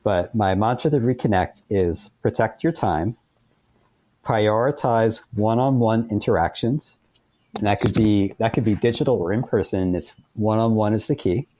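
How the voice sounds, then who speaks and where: A man's voice: lowish voice, normal speed, mellow, poor audio. A man speaking as an author guest in a conversational interview, informal and self-deprecating.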